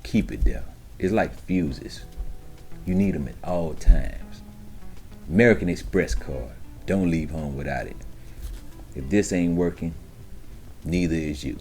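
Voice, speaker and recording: A man's voice: sits low, unhurried, pauses often, darkish tone, soft background music. A man talking informally as a trucker giving advice to newcomers, emphatic and streetwise, with frequent check-ins to his listeners. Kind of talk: informal instructional monologue to camera.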